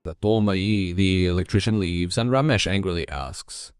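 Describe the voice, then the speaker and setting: A man's voice: low-pitched, normal speed, clear, resonant tone. A man reading scripted narration for a cartoon story, as the narrator.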